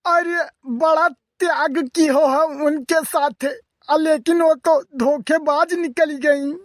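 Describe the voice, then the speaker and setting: An older cartoon village man, a teasing uncle who grumbles through his tales. The voice is oddly high and unhurried, with a rustic eastern Uttar Pradesh accent, in a dry, close recording. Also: steady tone, no room sound. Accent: eastern Uttar Pradesh (Awadhi). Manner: colloquial, exclamatory storytelling. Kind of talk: rustic comedy cartoon dialogue.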